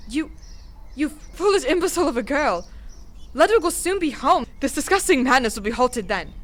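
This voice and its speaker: Woman playing a high-pitched imperious queen mother. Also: very animated, moderate pace, a bit bright. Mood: outraged, scolding. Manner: imperious, theatrical, emphatic repetitions. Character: haughty, scolding older queen mother of a medieval court. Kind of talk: audio drama acting. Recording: music under the voice.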